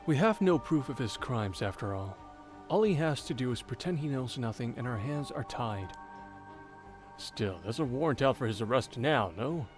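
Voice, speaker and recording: A man's voice: medium pitch, expressive, moderate pace, close to an everyday voice. An actor playing an earnest male investigator in a mystery visual novel, with game music behind. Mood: matter-of-fact. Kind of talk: English visual novel voice acting.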